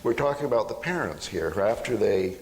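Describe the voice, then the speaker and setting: A man with a fairly low voice talks in a halting, reflective way, with dry humour. He is a panel speaker in an informal discussion. The live recording has long hall reverb and some background noise. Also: animated.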